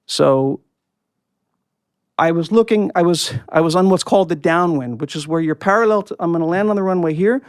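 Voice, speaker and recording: A man's voice: a little high, a little fast, clear, resonant tone, dry recording, studio quality. A man being interviewed as a guest, explaining technical procedures and recounting experiences in conversational detail. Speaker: guest, former air traffic controller and pilot.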